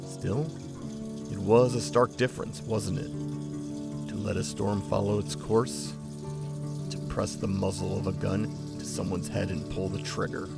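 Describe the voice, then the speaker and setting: A man narrating a story in a measured, reflective way. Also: on the low side, flat delivery, creaky. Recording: loud music bed under voice.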